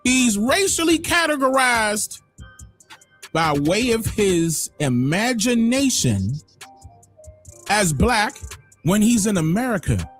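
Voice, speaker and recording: A man hosting a livestream, giving emphatic opinionated commentary that swings from loud exclamations to low muttering. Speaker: livestream host.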